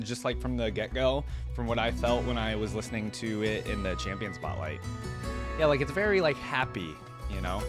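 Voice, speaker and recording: A man hosting a reaction video with casual, informal commentary, thinking aloud as he goes. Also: mid-range voice. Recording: music playing behind the voice. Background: game music.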